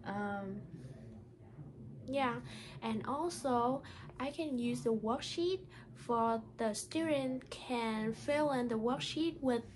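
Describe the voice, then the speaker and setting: A woman who speaks English as a second language, talking carefully and haltingly as a teacher doing a read-aloud lesson. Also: fairly high pitch, background noise. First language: not English. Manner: careful, halting delivery with stumbles over words. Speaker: teacher reading a picture book aloud.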